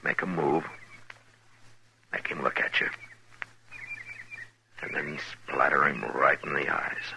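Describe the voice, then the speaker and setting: A very deep, forceful Old West lawman with a gravelly edge. He speaks in terse, measured orders, grim and steady, in the style of a 1950s radio western on a slightly noisy vintage recording. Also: heavily acted. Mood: tense, controlled. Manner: low, measured, terse instructions.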